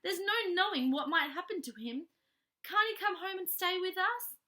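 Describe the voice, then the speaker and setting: A woman voicing a kindly, excitable married woman in a children's story, motherly, exclamatory and decisive. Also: very high-pitched, normal speed, pitched above the performer's own voice, exaggerated voice. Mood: pleading.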